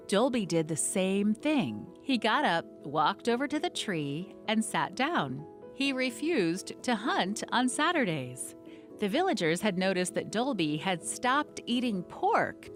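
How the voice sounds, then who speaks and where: A woman narrates a scripted story with a lively, animated voice that rises and falls a lot. Her pitch sits in an ordinary middle range. Soft music plays faintly behind her.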